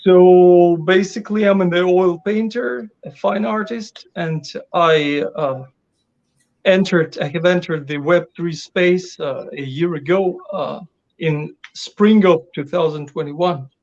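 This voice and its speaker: A man from Ukraine speaks haltingly in a fairly high voice, stopping often to restart his sentences. The call audio sounds dull and lo-fi. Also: a little mellow. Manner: hesitant, many fillers and restarts. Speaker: guest artist. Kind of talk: casual interview over a call. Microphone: video call.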